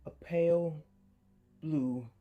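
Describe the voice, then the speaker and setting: A man giving a dramatic horror story reading, swinging between hushed murmurs and sudden loud outbursts, with an unhinged edge and creepy laughs. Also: fairly high pitch, drawn-out words, narrow, tinny audio. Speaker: narrator. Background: faint violin music.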